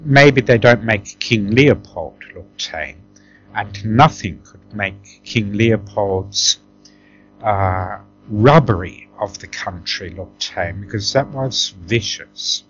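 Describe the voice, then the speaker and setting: A middle-aged man reminisces as an interview guest in an emphatic, conversational way, often tagging his points with a questioning "yeah?". He talks at an unhurried pace, and the recording is dull and lo-fi. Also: on the low side, animated, faint music behind. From Tanzania.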